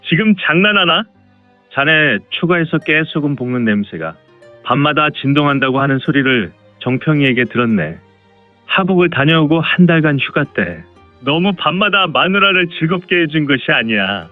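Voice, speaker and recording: A man's voice: fairly high pitch, expressive, normal speed, narrow, tinny audio, natural voice. A man playing a good-natured, teasing caravan escort chief with bossy, old-fashioned speech in a martial arts audio drama. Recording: dry, band-limited, music underneath.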